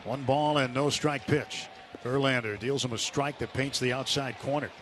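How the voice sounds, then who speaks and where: A man's voice: medium pitch, steady tone, moderate pace. A man calling a baseball game play-by-play on live radio. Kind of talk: live radio sports commentary. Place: stadium broadcast booth. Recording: noisy outdoor ballpark broadcast sound. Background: loud ballpark ambience.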